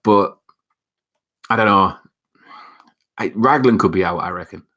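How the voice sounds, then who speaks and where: A man's voice: deep voice, measured pace, bright, forceful tone. A young man with a Northeast England accent, hosting a casual live chat and reading viewer comments, rambling with lots of fillers and restarts. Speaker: livestream host reading chat.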